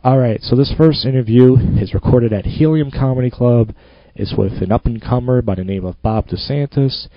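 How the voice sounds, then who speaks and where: A man's voice: mid-range voice, moderate pace, few pauses, dry recording. A man hosting his own podcast, rambling and self-mocking in a loose monologue. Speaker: podcast host. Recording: dull lo-fi home recording.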